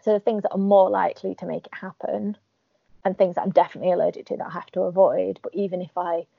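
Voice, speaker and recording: Gravelly Northern English woman. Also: fairly low pitch, flat delivery, fast talker, no room sound, lo-fi sound. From Northern England. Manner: chatty, wry, laughs at herself. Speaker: podcast guest. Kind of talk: casual interview chat.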